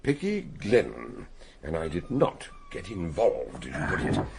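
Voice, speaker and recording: An actor playing an elderly English scholar-detective, wryly amused, with theatrical, deliberate phrasing in a radio drama. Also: male voice, very deep voice, huge pitch swings, gravelly, darkish tone, a little hiss or hum, exaggerated voice. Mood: defensive, amused. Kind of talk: radio drama acting, storytelling.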